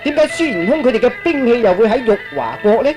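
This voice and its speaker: A man's voice: very high voice, sing-song, quick pace, music in the background. A man narrating a Cantonese radio drama in a storyteller's style.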